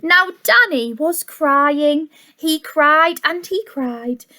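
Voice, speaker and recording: Female storyteller for young children: high pitch, unhurried delivery, expressive and sing-song, teacherly asides. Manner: expressive, deliberate, sing-song, with gasps and questions to listeners. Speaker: teacher reading a picture book to young children. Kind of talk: animated children's story reading with chatty asides.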